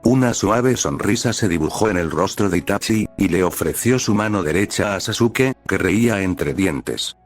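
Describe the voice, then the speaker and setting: A man's voice: deep voice, lively intonation, normal speed, few pauses. A man reading out scripted fan fiction as an audiobook-style narrator. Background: background music.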